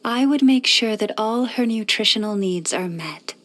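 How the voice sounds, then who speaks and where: An actress voicing a gentle young moon priestess in a video game, with a soft, breathy voice and a calm, soothing, formal manner. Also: female voice, fairly high pitch, natural voice. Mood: calm, warm. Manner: calm, measured, soothing, formal poetic phrasing. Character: gentle, serene young woman, moon priestess of a forest faith. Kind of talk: scripted video game character voice lines.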